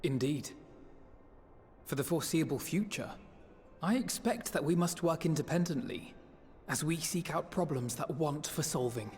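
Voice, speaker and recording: A man playing an earnest, eloquent young scholar in a video game, speaking in formal, measured, poetic phrases. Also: a little high, lively intonation, darkish tone. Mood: resolute. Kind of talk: scripted narration and dramatic dialogue. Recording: long reverb, music behind.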